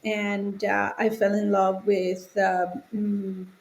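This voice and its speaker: A woman in her forties with an Italian accent, answering conversationally as an interview guest in halting phrases. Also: medium pitch, completely flat delivery, gravelly. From Italy. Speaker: podcast guest, children's author.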